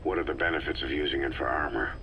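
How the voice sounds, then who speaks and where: An actor playing a terse, stern, battle-hardened military boss in a video game, gruff and gravelly, in short clipped replies. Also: male voice, a bass voice, steady tone, fast talker, muffled recording, slight background noise. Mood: terse, questioning. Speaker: military commander.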